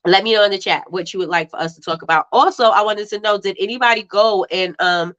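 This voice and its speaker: A woman's voice: mid-range voice, fast, a bit bright. A woman with a stuffy, congested voice hosting a casual livestream, chatting with viewers, laughing often and repeating herself. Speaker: livestream host. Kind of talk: casual live-stream chat reading viewer comments. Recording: slightly dull lo-fi livestream audio.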